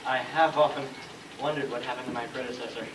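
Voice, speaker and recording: A man playing a deferential, long-winded royal chancellor in a stage comedy, formal and halting as he picks his words. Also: medium pitch, steady tone, lightly acted. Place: school stage, live play performance. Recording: distant, noisy, echoey room recording of play.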